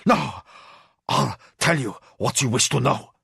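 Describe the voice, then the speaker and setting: A man voicing a terrified but stubborn male alchemist who is held prisoner, his voice high, strained and panicky. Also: slow pace, vocal fry, sharp tone, husky, no echo, studio quality, full character voice. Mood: panicked.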